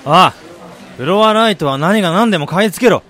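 A man acting in a radio drama as a driven businessman and husband, telling his life story in a measured, reflective way. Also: high voice, expressive, a bit bright, a little hiss or hum. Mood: brusque, urgent. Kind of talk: radio drama monologue, literary narration. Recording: music bed behind the voice.

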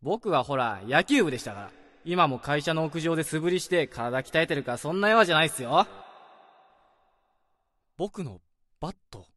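A man's voice: fairly high pitch, lively intonation, normal speed, long pauses, a bit bright, no room sound, studio quality. An actor playing an earnest, polite young male office junior in a radio drama. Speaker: junior coworker.

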